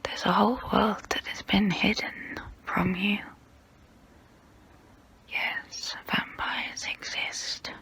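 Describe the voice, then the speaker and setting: A woman voicing a haughty immortal vampire who looks down on humans, in a breathy close-up whisper for an ASMR role play. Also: mid-range voice, little pitch movement, sharp tone, full character voice. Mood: disdainful, musing. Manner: slow, deliberate, condescending.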